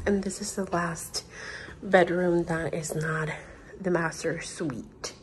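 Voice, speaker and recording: A young woman from Puerto Rico, gushing with excitement as she films a tour of her new empty house on a handheld phone. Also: lowish voice, takes it slowly, a little hiss or hum, poor audio. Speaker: vlogger. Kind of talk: home tour vlog. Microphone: handheld phone camera.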